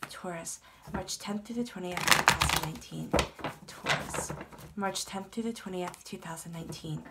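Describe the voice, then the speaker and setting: A woman's voice: fairly low pitch, normal speed, heavy background noise. Stuffy-nosed woman giving a tarot reading. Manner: soothing, reassuring, repeats phrases. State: stuffed up from a cold. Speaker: tarot reader. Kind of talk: tarot reading monologue to camera.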